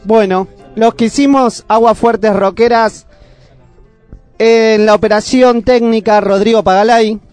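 A man's voice: sits high, fairly even intonation, a little slow, darkish tone, clean tone, no echo, faint music behind. An Argentine man co-hosting a community radio show in a casual presenting style. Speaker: radio co-host.

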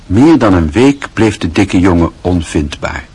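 A man narrating a Dutch radio play in a measured, literary storytelling manner. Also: sits low, big pitch swings, darkish tone, breathy edge.

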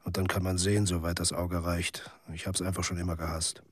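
A man's voice: low voice, little pitch movement, fairly quick, slightly rough, dry recording, clean studio sound. An actor playing a weary, cynical male journalist telling his story in a laconic literary monologue for radio drama. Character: weary, cynical male journalist in a bleak near-future city.

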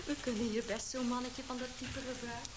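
Casting: actress as gossipy female receptionist, radio drama, high bright-mannered chatter, clearly noisy recording. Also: little pitch movement, a little fast, lightly acted. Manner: courteous, chatty. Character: chirpy, gossipy young female newspaper receptionist. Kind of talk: Dutch radio play acting.

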